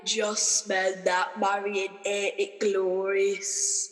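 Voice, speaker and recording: A woman's voice: medium pitch, flat delivery, extremely slow, long reverb tail, soft background music. A woman acting a blunt, wheedling working-class prison guard in a comic radio play, brash and colloquial. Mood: coaxing.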